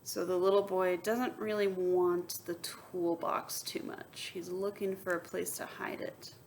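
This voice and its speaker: A woman reads a children's picture book at a slow, unhurried pace, as a teacher does for students. Her delivery is expressive, with emphatic story lines and softer asides. There is noticeable background noise in the recording. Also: on the low side. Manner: expressive, switches between emphatic reading and soft, wondering asides. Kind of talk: children's read-aloud with asides and questions to students.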